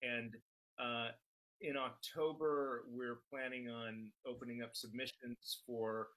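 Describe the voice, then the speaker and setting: A middle-aged man, an interview guest, explaining in a measured, matter-of-fact conversational way. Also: mid-range voice, little pitch movement, a bit bright, low-quality recording.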